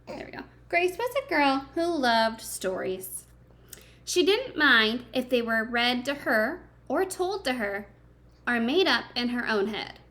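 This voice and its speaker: A woman's voice: fairly high pitch, fairly bright tone. A woman reading a picture book aloud to young children like a teacher, expressively, with simple chatty asides and questions to her listeners.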